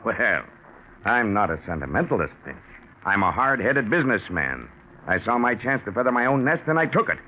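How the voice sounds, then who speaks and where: An actor playing a big, bluff, ruthless business partner with a gloating, taunting manner in a 1940s radio drama. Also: male voice, fairly low pitch, very animated, a little fast. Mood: scornful. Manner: gloating, taunting, hard-headed. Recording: dull, noisy 1940s radio recording.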